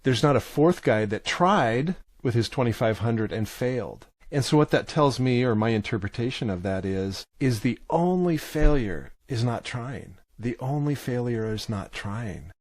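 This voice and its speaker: A man's voice: mid-range voice, lively intonation, a little slow, few pauses, no echo. A man speaking as an interview guest, telling stories conversationally and driving his key points home with emphasis. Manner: emphatic, repeats key points, acts out quotes. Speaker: podcast guest, author and teacher.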